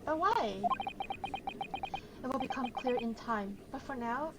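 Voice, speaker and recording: A woman's voice: on the high side, expressive, unhurried, heavy background noise. A woman live-streaming a game from her bedroom, reading dialogue aloud and reacting in a dramatic, casual, exclaiming way as she talks to herself. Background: loud game music.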